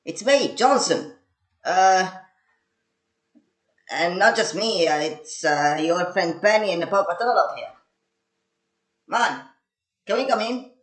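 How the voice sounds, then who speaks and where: Casting: male actor as blunt, sweary, rambling squad member for fan audio drama; slightly high voice, roomy echo. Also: lively intonation, slow pace, long pauses, a bit bright, full character voice. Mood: alarmed, pleading. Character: blunt, foul-mouthed male squad member named Johnson. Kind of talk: amateur audio drama acting.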